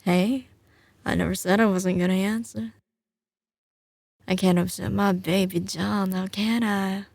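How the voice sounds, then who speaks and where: A woman's voice: mid-range voice, steady tone, gravelly, a little mellow, dry recording, clean studio sound. A woman playing a doting, tough young mafia heiress with a Southern US drawl, speaking intimately to her partner in a teasing ASMR roleplay. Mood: fond, confident. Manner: slow, drawling, teasing endearments. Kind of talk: ASMR roleplay, intimate scripted monologue to the listener.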